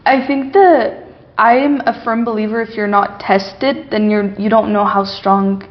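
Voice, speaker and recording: A woman's voice: medium pitch, steady tone, a little slow, dull audio. A young woman from Egypt speaking as an interview guest, reflective and earnest, with frequent fillers and restarts. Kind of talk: casual interview.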